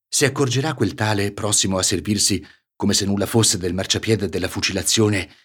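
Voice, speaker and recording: A man with a northern Italian accent from Ferrara reading a literary story for radio, with measured, theatrical phrasing and deliberate pauses. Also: medium pitch, husky. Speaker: actor reading a short story. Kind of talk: literary audiobook narration for radio.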